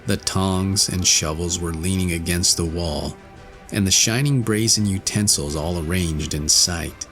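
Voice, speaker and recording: A man reading a classic fairy tale as an audiobook narrator, in a measured storytelling manner. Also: sits low, a bit bright, soft background music.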